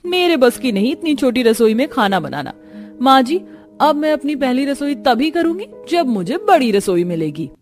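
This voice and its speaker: A woman's voice: high-pitched, sing-song, normal speed, a little mellow, soft background music. A woman playing a haughty, spoiled rich young daughter-in-law in a cartoon story, boastful and condescending. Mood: petulant.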